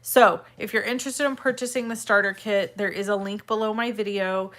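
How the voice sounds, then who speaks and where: A woman with a slightly raspy, congested voice hosts a casual step-by-step craft tutorial, addressing viewers in a friendly, direct way. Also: mid-range voice, fairly even intonation, a little fast, fairly bright tone. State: congested, irritated throat from allergies.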